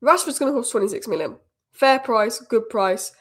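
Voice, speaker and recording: A woman hosting a football news roundup, a little croaky from a cold, giving opinionated fan commentary. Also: on the high side, animated, moderate pace. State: has a cold, slightly croaky. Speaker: football channel host. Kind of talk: fast solo news roundup.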